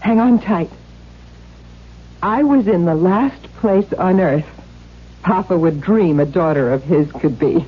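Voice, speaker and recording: A woman's voice: fairly low pitch, a little slow, pauses often, husky. A woman playing a bold, bitter young runaway heiress and protective big sister, emphatic and theatrical in 1970s radio drama style. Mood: teasing, scornful. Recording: dull 1970s radio broadcast.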